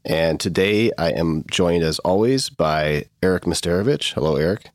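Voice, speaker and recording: A man's voice: deep voice, moderate pace, no echo, clean studio sound. A man with a Midwestern accent from Michigan hosting a casual legal podcast, easygoing and joking. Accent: Midwestern American. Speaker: podcast host.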